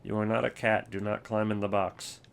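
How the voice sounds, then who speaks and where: A man's voice: lowish voice, flat delivery, moderate pace, gravelly, a little hiss or hum. A man hosting a casual ranking video with wry, offhand commentary. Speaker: video host.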